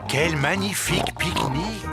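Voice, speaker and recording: A man's voice: a little high, normal speed, close to an everyday voice. A man narrating a scripted children's cartoon like a storybook, with music behind him. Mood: storytelling.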